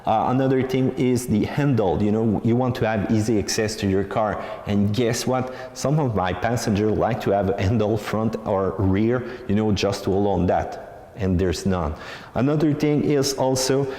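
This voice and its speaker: A man's voice: fairly low pitch, normal speed, warm tone. Echoey man reviewing cars. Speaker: car reviewer, channel host. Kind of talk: casual video car review, talking to viewers. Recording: echoey with long room reverb.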